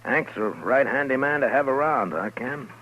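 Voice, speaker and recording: An actor playing a tough, wry frontier marshal, speaking in a dry, laconic way in 1950s radio western style. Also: male voice, mid-range voice, lively intonation, normal speed, close to an everyday voice. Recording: dull, hissy 1950s radio recording.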